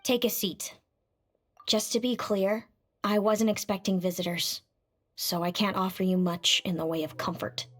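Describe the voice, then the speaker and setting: A woman's voice: medium pitch, little pitch movement, normal speed, close to an everyday voice. A woman playing a guarded, weary and reluctant fairy woman in an anime-style video game, speaking in a cool, curt, foreboding way. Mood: cool, warning.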